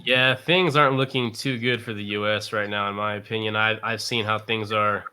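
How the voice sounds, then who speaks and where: Bright, low-voiced American male host. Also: steady tone, normal speed, clean tone. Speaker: show host, interviewer. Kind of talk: casual interview hosting.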